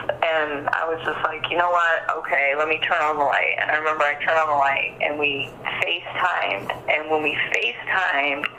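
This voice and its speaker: A woman's voice: low-pitched, bright, forceful tone. A woman giving an informal interview over the telephone, hesitant and rambling, peppering her account with "like". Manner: hesitant, rambling, many likes. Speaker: interviewee recounting events to an investigator. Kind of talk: informal phone interview, recounting. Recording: noisy telephone interview recording.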